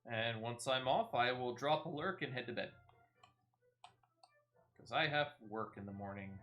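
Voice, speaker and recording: A man's voice: mid-range voice, measured pace, sharp tone, dull audio. A man giving casual, rambling, playful gameplay commentary as a video game streamer.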